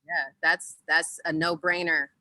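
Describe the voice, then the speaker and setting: A woman with a low pitch and a bright, forceful tone answers at an unhurried pace. She speaks in a heartfelt, emphatic way, stressing her words. The sound is close and dry. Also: little pitch movement, dry recording, rough recording. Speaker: panelist, former teacher, medical student. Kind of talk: unscripted panel answer.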